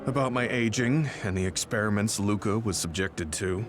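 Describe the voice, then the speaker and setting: An ageing male veteran played by an actor in a video game, with a fairly low voice. He is steady, grave and a little weary, speaking in measured phrases over music. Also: natural voice. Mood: advising. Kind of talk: video game cutscene acting. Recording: background music under the voice.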